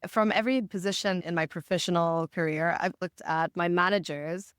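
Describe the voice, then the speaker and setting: Clear-voiced woman, fairly low, measured. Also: steady tone, a bit bright, no room sound, clean studio sound. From Botswana. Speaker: podcast guest, marketing director. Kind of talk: reflective interview answers.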